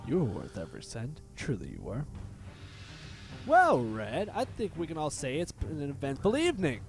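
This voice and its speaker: A man's voice: fairly high pitch, huge pitch swings, normal speed. A man voicing a smug, theatrical crime boss in a cartoon fan dub, drawling with mock politeness. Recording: fan dub playback under loud music. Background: loud background music.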